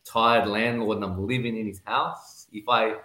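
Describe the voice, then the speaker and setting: A man's voice: lowish voice, steady tone, moderate pace, bright, forceful tone, reverberant. A man with an Australian accent, a podcast guest telling his story casually with lots of fillers and restarts. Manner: rambling, many "you know" fillers and restarts. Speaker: podcast guest, real estate investor.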